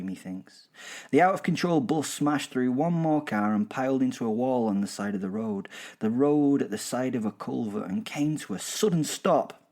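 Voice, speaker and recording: A man's voice: mid-range voice, darkish tone, natural voice. A man playing an arrogant, savage werewolf who narrates a horror audio drama in a theatrical, sardonic way, savouring his words. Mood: gleeful, mocking. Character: arrogant, gleefully savage werewolf killer telling his hunts.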